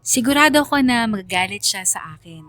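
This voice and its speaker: A woman's voice: medium pitch, expressive, fairly quick, a bit bright, no room sound, close to an everyday voice. Woman as a sincere, troubled young storyteller. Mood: uneasy, troubled. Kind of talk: first-person radio drama narration.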